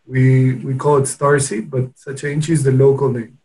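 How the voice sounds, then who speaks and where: A man from Peru speaking as an interview guest, telling his story in a hesitant way with many pauses and restarts. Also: mid-range voice, flat delivery, mellow, low-quality recording. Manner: hesitant, with frequent restarts and pauses while recounting. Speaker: interview guest, company founder. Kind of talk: casual interview conversation.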